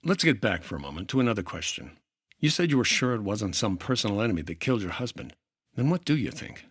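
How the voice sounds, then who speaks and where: An actor as a hard-boiled investigator from an old radio detective play. His voice is fairly low with a rough husk, recorded dry and close. He is terse and brusque, probing with clipped questions. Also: very animated, fast talker, fairly bright tone, no echo, heavily acted. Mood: interrogating. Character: brusque, hard-boiled 1950s insurance investigator, exasperated but decent. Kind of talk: 1950s radio detective drama acting.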